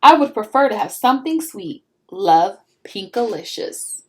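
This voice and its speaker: A woman's voice: medium pitch, big pitch swings, measured pace, background noise. A woman voicing a dramatic, demanding little girl from a picture book, with an exclamatory, whiny delivery. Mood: earnest letter-writing.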